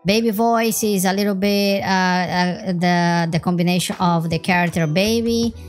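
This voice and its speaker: A man speaking through a pitch-raising voice changer as a baby or anime character, casually explaining software. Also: steady tone, moderate pace, soft background music. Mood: childlike, explaining.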